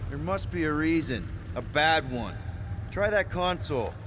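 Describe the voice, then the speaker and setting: A man's voice: fairly high pitch, animated, a little slow, fairly bright tone, a little hiss or hum. A man playing a wisecracking, easygoing young space adventurer who leads his team in a video game, casual and flippant. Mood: wry.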